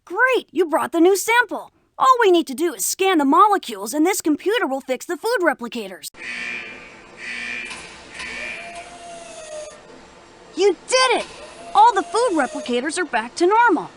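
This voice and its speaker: A woman's voice: very high voice, sing-song, a little fast, dry recording. A woman playing an eager, chipper young spy kid in an educational cartoon game. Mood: pleased. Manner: enthusiastic.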